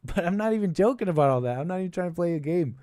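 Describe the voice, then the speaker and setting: A man hosting a casual call-in show, thinking aloud in a halting, repetitive, meandering way. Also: high-pitched, expressive, dark tone, no echo, clean studio sound. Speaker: podcast and call-in show host. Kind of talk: rambling casual political commentary.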